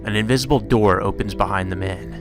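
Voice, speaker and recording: Male visual novel narrator, low register, dramatic storytelling delivery, faint music underneath. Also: brisk. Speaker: let's play narrator reading a visual novel aloud. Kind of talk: dramatic read-aloud narration.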